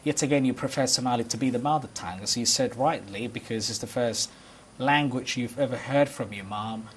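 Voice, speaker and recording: A man hosting a television studio interview, asking questions in a measured way and switching between languages. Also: mid-range voice, slight background noise. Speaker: TV host. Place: dark television studio, seated.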